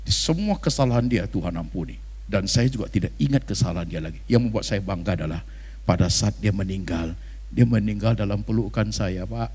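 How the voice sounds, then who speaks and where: A man's voice: lowish voice, a little fast, a little mellow, husky, pitched below the performer's own voice. Man doing a frank older Batak wife, echoing hall. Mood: proud, tender. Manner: emphatic, frank storytelling. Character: plain-spoken older Batak wife, proud, forgiving, devoted. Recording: reverberant hall sound.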